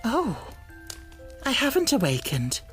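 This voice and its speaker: A woman's voice: fairly high pitch, wildly swooping pitch, takes it slowly, a bit bright, voice pushed up, heavily acted. A woman voicing a poor, dreamy young girl full of wonder, in rapt, fervent exclamations for a storybook. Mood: drowsy, mournful. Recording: music bed under the voice. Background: music.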